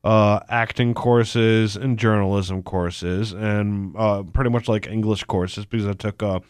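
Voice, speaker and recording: A man's voice: lowish voice, fairly even intonation, smooth, dry recording, studio quality. A congested man co-hosting a casual chat podcast, rambling and stumbling over his words. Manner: rambling, halting with many restarts. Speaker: podcast co-host.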